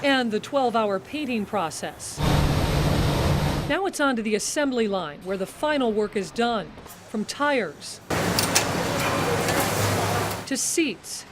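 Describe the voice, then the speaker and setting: A woman's voice: on the high side, heavy background noise. A middle-aged woman, a TV news reporter, delivering scripted news narration.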